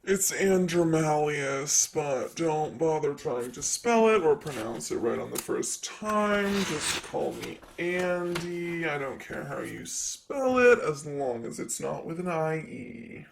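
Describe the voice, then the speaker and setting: Here is a man acting as a vain, flirty demon secretary in an ASMR roleplay. His voice sits a little high for a man, and he takes his time with every phrase. He is sassy and casually teasing, an ancient being doing desk work. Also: animated, slow pace, gravelly, few pauses, noisy background, full character voice. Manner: teasing, casual, drawling asides.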